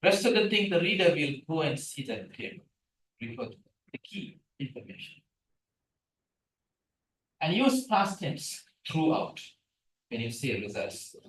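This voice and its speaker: A middle-aged man whose first language is Tamil gives a guest lecture on scientific writing. He is animated and keeps asking the audience questions. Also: a little high, a little slow, pauses often, slight background noise. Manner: animated, asks the room questions. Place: seminar room, hybrid session. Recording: echoey room from a distance.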